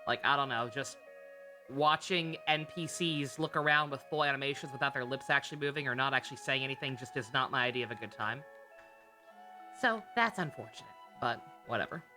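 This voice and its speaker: A woman's voice: very deep voice, fairly quick, sharp tone, faint music behind. A woman livestreaming a game, chatting casually with viewers in a sweary, wry, sarcastic way. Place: indoor game streaming setup, game music behind.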